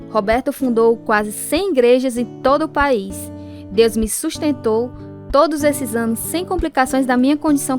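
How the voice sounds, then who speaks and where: An actress playing a devout, thankful pastor's wife looking back on her life in measured radio drama narration. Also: a little high, natural voice. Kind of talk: scripted first-person radio drama narration.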